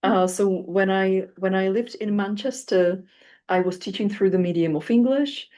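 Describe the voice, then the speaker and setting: A woman moderating an academic round table speaks English. She talks over a video call at a middle pitch and tells stories in an engaged way, dotted with fillers. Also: normal speed. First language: Czech. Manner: lively storytelling with fillers.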